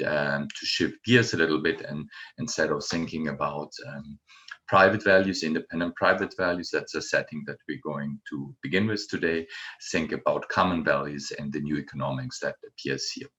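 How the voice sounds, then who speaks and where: A middle-aged man from Germany with a German accent, giving a university lecture in an unhurried way with many ums and uhs. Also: rumbling low, a bit bright. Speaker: guest lecturer.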